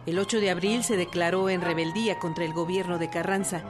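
A woman's voice: lowish voice, steady tone, brisk. Woman narrating over prominent string music. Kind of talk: scripted documentary drama narration.

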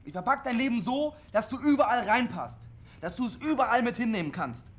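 A man's voice: extremely high pitch, expressive, brisk. An actor in his twenties playing a defiant, lonely CB radio dreamer in a radio drama, boastful and insistent. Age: 20s.

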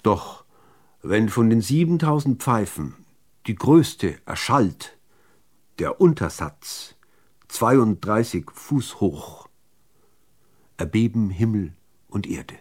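A man reading literary prose as a radio narrator, in a measured, deliberate delivery with dramatic pauses. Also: on the low side, sing-song, a little mellow, breathy edge.

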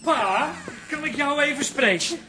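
An actor playing an exasperated, whiny and indignant son with a Dutch accent in a radio drama. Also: male voice, very high voice, animated, measured pace, pushed and bright, faint music behind, heavily acted, poor audio. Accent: Dutch, from the Netherlands. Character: exasperated son running a shady tourist-photo business, Manny.

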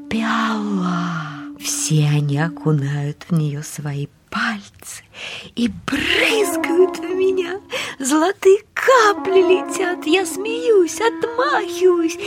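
An actress playing a fragile, troubled wife in an audio play, speaking in a dreamy, childlike, emotional manner. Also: female voice, high-pitched, heavily acted. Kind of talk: dramatic monologue in an audio play. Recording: music behind the voice.

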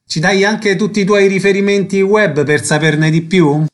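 A man's voice: sits high, fairly even intonation, normal speed. A man hosting on radio, reading announcements and putting short interview questions.

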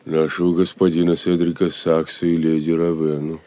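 Deep, mellow, earnest swineherd. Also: male voice, level tone, moderate pace, no echo. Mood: alarmed. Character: Gurth, plain earnest swineherd, gruff and loyal. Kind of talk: audio drama acting.

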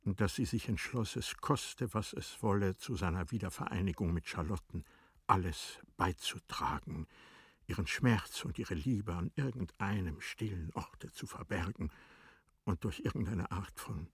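A man narrating a literary radio drama in a measured, composed manner. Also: low-pitched, slightly rough, dry recording, clean studio sound.

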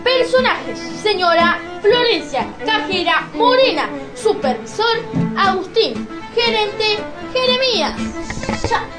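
A woman's voice: very high voice, very animated, slow pace, loud music behind the voice. A woman narrating an amateur radio play, reading out scripted announcements.

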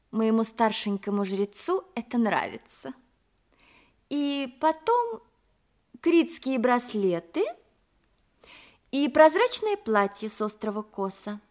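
An actress playing a vain, pampered, coquettish courtesan of ancient Greece in a theatrical audio drama, with an affected, gushing manner. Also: female voice, a little high. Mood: pampered, fussy.

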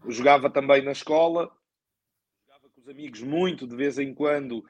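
A man's voice: medium pitch, normal speed, dry recording, muffled recording. A man with a European Portuguese accent answering in a podcast conversation as a guest, thoughtful and hesitant, often repeating words for emphasis. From born in Angola, moved to Portugal very young. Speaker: guest, football coach.

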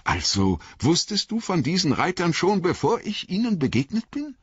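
A man's voice: high-pitched, huge pitch swings, moderate pace, a bit bright, slightly rough, no room sound, muffled recording, heavily acted. A man reading an audiobook voices a young hobbit who asks eager, earnest questions.

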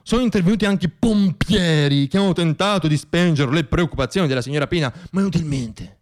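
A man performing a scripted radio theatre monologue as storyteller, with dramatic, deliberate phrasing and pauses. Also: a little high.

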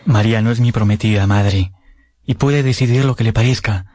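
An actor playing a lovesick young gentleman who tells his own romance in an earnest, formal, literary way for a radio drama. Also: fairly low pitch, a little fast, no echo, close to an everyday voice. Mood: respectful pleading. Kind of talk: radio drama narration and dialogue. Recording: music under the voice. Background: music.

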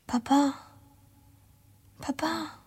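A woman's voice: sits high, fairly even intonation, very slow, a little mellow. An actress in a radio drama playing a frightened little girl with a plaintive, childlike way of speaking. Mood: anxious calling. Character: frightened little girl calling for her papa. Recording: long reverberant hall echo.

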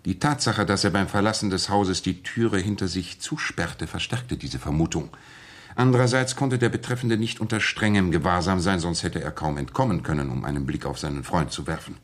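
Low-pitched, methodical gentleman detective. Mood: methodical, assured. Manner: measured, courteous, precise. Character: composed, methodical gentleman detective explaining his deductions. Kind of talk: 1960s German radio drama acting.

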